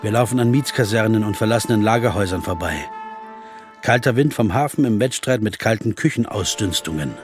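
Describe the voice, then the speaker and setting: A man plays a determined jazz pianist who narrates the story himself in a radio drama. His voice sits low, with clear music underneath, and he pleads with urgency. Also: a little fast, natural voice. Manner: urgent, persuasive. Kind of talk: first-person narration and dialogue.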